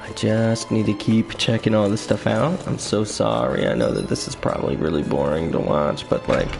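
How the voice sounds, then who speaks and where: A man's voice: lowish voice, lively intonation, normal speed, gravelly. A man casually commenting while playing a video game, joking and chatty, with game music behind him. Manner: joking, self-mocking, chatty. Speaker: streamer. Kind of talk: casual let's-play commentary.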